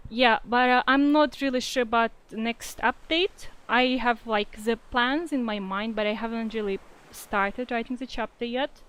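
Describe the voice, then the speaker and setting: A woman's voice: a little high, moderate pace, few pauses, fairly bright tone, smooth, low-quality recording. A young woman with a Russian-influenced accent answering reader questions in a casual livestream, hesitant and full of fillers. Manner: hesitant, many fillers and restarts, reads comments aloud. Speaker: fanfiction writer answering readers.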